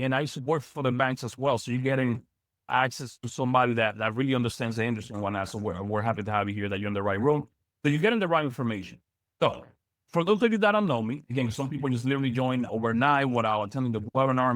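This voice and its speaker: Business coach, male, young, from the Dominican Republic; quick, energetic lecture full of restarts. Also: mid-range voice, no room sound, studio quality. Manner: energetic, repeats words, many fillers. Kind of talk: live online training lecture.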